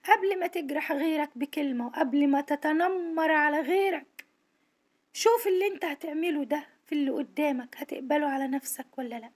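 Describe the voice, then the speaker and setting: A woman's voice: high-pitched, a little fast. A woman with an Egyptian accent, a social worker, speaking to camera from home with a tired, strained voice in an earnest, indignant appeal. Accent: Egyptian Arabic. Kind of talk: monologue to camera.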